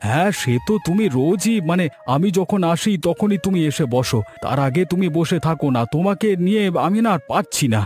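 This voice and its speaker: Man voicing a higher-pitched village fisherman. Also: lively intonation, brisk, few pauses, darkish tone. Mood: mildly scolding. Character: hardworking village fisherman, devoted family man. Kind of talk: Bengali moral cartoon dubbing. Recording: music behind the voice.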